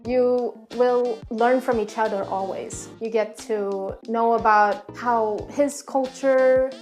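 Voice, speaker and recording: A young woman from Sweden, speaking in a thoughtful, measured way in an interview. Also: a little high, steady tone, darkish tone. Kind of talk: casual interview talk. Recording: faint music behind.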